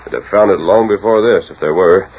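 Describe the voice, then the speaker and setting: Guy acting as a masked cowboy hero, low voice, talks fast, takes charge, old muffled radio. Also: darkish tone, lightly acted. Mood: steady, resolute. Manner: brisk, commanding. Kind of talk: 1940s radio western acting. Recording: muffled, faintly hissy 1940s radio recording.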